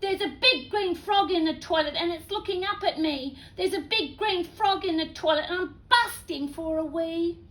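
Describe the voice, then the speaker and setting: A woman voicing a small, desperate child in a children's storybook, complaining in a drawn-out sing-song. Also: very high voice, fairly bright tone, pitched above the performer's own voice. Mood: desperate, pleading. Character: small desperate whining child needing the toilet.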